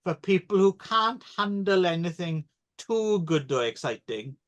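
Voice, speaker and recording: High-voiced man joking sarcastically. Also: very animated, a little slow, lo-fi sound. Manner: jokey and sarcastic, with many fillers. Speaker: podcast co-host. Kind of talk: casual film podcast chat.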